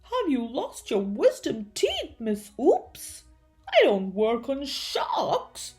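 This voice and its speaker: A storybook sea-creature dentist, played by a woman, male, cowardly and full of bluster. He shrieks and stammers dramatically, swinging wildly in pitch over background music. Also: huge pitch swings, slow pace, darkish tone, full character voice. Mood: fearful, indignant.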